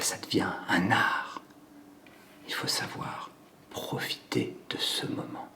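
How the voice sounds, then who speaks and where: A man plays a controlling psychopath in a stage monologue, with a low voice that often sinks to a whisper. He speaks slowly and deliberately, savoring each phrase with cold menace. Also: heavily acted. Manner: slow, hushed, deliberate delivery with drawn-out pauses. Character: cold, controlling male psychopath killer savoring his confession. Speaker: acting student performing a monologue. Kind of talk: theatrical dramatic monologue.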